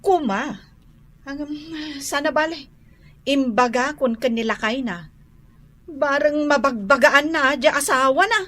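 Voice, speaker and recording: High-voiced, scandalized Ilocano village gossip. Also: female voice, unhurried, a bit bright. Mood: gossipy, concerned. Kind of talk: Ilocano comedy radio drama acting.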